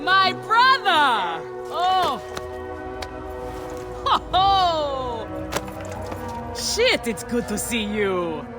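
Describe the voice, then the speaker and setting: Game sidekick: affectionate, exuberant friend, animated high voice, drawn-out delivery. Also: male voice, full character voice. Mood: overjoyed. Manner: effusive, hearty. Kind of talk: dramatic video game cutscene acting. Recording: loud music behind.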